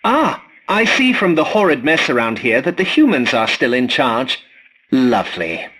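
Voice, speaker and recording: A fussy, swooping robot butler. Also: male voice, medium pitch, big pitch swings, normal speed, bright, forceful tone. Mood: dry, grumbling. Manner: prim, formal, dryly sarcastic. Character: fussy, polite, snarky robot scavenger butler. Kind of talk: scripted video game character lines.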